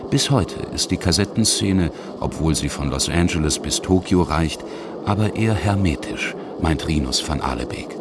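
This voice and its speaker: A man's voice: sits low, background noise. A middle-aged man from Bavaria reading scripted narration for a radio documentary. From Bavaria, Germany. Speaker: radio feature author and reporter.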